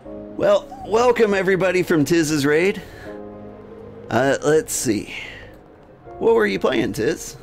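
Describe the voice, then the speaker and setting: A man hosting a game livestream, switching between jokey, rambling chat and reading game text aloud in a gravelly voice. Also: sits high, expressive, takes it slowly, pauses often. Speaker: game streamer and host. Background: music.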